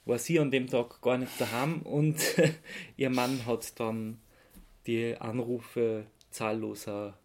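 An Austrian man talks listeners through his research on a casual podcast. His delivery is spontaneous and a little halting, as he feels for the right word. He sounds amused by his material. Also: mid-range voice, flat delivery. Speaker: podcast host presenting research.

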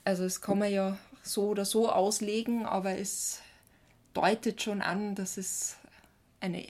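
A woman co-hosting a podcast, chatting casually in an expressive, exclamatory way. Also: mid-range voice, a little slow. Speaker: podcast co-host. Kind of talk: casual chat.

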